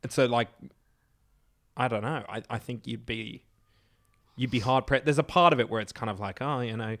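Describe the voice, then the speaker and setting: A man's voice: medium pitch, normal speed, a bit bright, no room sound. A man chatting casually as a podcast guest, with dry, sarcastic comic banter.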